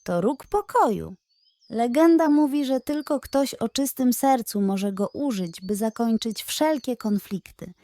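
A woman's voice: on the high side, lively intonation, unhurried, dark tone. A woman voicing an agile, earnest jester sidekick in a children's storybook. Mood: revealing. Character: agile card-world jester, urgent and wise sidekick.

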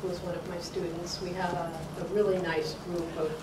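Older woman tutor, easygoing, low-pitched; distant mic in an echoey room. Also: level tone, fairly quick. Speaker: volunteer tutor. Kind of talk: casual remarks. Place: community room, at a podium. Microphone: podium mic, far camera. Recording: echoey room from far off, noise.

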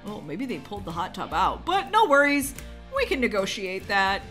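A woman's voice: mid-range voice, very animated, normal speed, fairly bright tone, soft background music. A woman playing an upbeat, pushy real-estate agent with a chirpy sales manner in a game roleplay story. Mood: coaxing.

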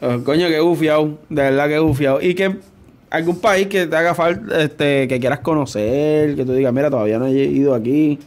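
A man's voice: fairly high pitch, moderate pace, darkish tone. A Puerto Rican man in his thirties hosting a casual studio interview, joking and laughing often, full of slang. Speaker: podcast host. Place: small studio with brick-look wall. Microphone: desk boom mic.

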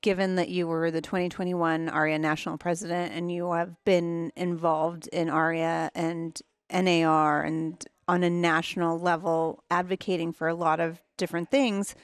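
A woman's voice: lowish voice, flat delivery, normal speed, hardly any pauses, clear, resonant tone, no echo. A woman hosting a podcast interview on a headset mic, asking questions and praising her guest. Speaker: podcast host. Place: sunny room with large windows.

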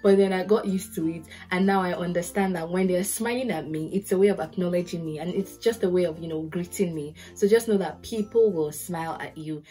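A woman's voice: lowish voice, brisk, hardly any pauses, faint music behind. A young woman from Nigeria with a Nigerian accent, giving casual advice to the camera and acting out little stories as she goes. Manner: lively storytelling, acts out quotes. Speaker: podcast host, lawyer. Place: bedroom with curtains, indoors.

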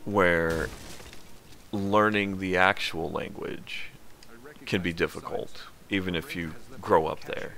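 A man's voice: low-pitched, steady tone, slow, vocal fry, a bit bright. A man casually chatting while streaming a video game, rambling and hesitant, thinking aloud with many fillers. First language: English. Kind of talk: casual gameplay commentary.

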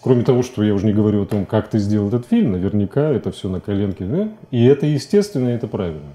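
A man in his forties with a low, very dark and soft-edged voice. He speaks as a guest in a studio interview, thinking aloud in loose, colloquial phrases that often stop and restart. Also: lively intonation, very fast. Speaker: interview guest, animation filmmaker. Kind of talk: casual studio interview talk. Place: TV studio, indoors.

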